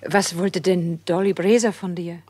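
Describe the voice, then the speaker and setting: An actress playing an outspoken, emphatic wife in an old radio crime drama. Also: female voice, fairly low pitch, steady tone, normal speed, a little mellow, husky. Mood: insistent.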